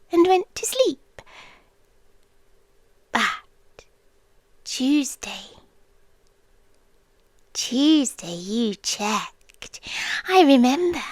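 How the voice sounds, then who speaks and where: Slow, high British yandere girlfriend acted by a woman. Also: a little mellow, dry recording, exaggerated voice. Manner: slow, breathy, lingering on short phrases with pauses. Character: obsessive yandere girlfriend, creepily devoted young woman stalker. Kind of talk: intimate ASMR roleplay, drifting in and out of whispers. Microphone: close microphone, dry intimate recording.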